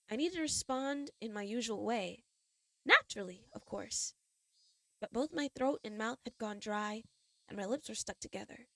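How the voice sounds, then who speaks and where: A woman's voice: fairly high pitch, fairly bright tone, no room sound. A young woman streamer with a stuffy cold nose, bubbly and giggly as she chats and reads a game story aloud. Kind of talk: casual chat and reading a visual novel aloud.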